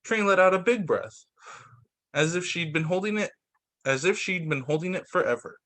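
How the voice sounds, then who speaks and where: A man reading a children's picture book aloud like a teacher at story time, expressive, with the odd stumble. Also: high voice, brisk. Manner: expressive, voices the dialogue with slight shifts; stumbles and corrects himself while reading.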